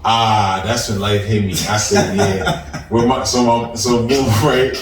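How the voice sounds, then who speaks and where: A man's voice: lowish voice, fairly even intonation, unhurried, a crowd in the background. A man co-hosting a podcast, telling stories animatedly, acting out quoted voices and laughing often. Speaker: podcast co-host. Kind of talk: casual storytelling chat. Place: room in a podcast studio. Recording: roomy, distant mic with noise.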